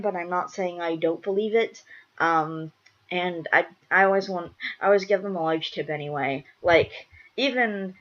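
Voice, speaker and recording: Congested young woman rambling casually, slightly low voice. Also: fairly bright tone, dull audio. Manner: repetitive, emphatic, restating phrases. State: congested from a cold. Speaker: vlogger. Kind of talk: casual rambling chat to viewers.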